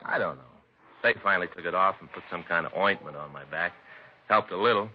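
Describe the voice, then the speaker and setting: Radio play detective's partner, male actor: American, deep-toned, complaining family man, folksy and rambling, muffled old recording. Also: brisk, natural voice. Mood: aggrieved. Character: grumbling, put-upon family-man police detective sidekick. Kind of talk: 1950s radio acting, conversational dialogue. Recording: dull vintage radio recording, faint noise.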